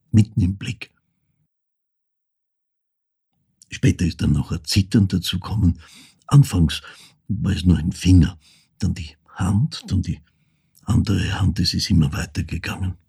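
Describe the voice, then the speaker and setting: An actor playing an elderly man in a radio drama monologue, recalling his dead mother in halting, hushed, reflective phrases with a husky, gravelly voice. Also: low-pitched, exaggerated voice. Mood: pained, subdued. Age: older.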